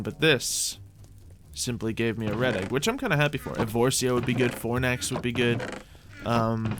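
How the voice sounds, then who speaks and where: A man's voice: mid-range voice, noisy background. A man hosting a gaming video, thinking aloud in casual gameplay commentary.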